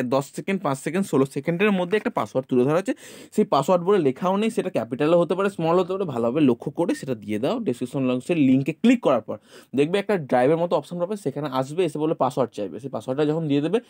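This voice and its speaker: A man's voice: a little high, darkish tone, no room sound, studio quality. A man with a West Bengal Bengali accent presenting casually to students, insistently urging them on and repeating phrases for emphasis. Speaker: YouTube presenter and tutor.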